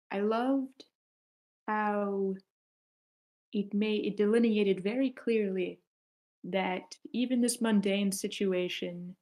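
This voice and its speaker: A woman's voice: mid-range voice, darkish tone. A woman hosting an informal group discussion, chatting casually and wordily as she feels her way toward her points. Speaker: host of a screenplay table read discussion. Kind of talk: casual chat.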